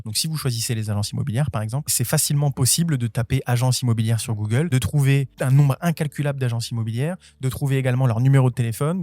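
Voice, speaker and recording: Fast-talking mellow man, informal tutorial. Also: medium pitch, no echo. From Portuguese family. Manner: direct, conversational, emphatic repetition. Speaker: online instructor on selling AI services. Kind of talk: informal tutorial explanation to camera.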